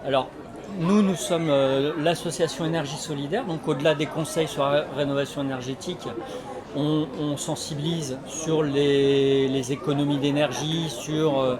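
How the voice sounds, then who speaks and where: A man explaining his association's work in an interview, halting often as he searches for words. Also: a little high, fairly even intonation. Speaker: energy renovation association representative. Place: community associations forum in a sports hall. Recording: loud crowd hubbub at a fair. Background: loud crowd.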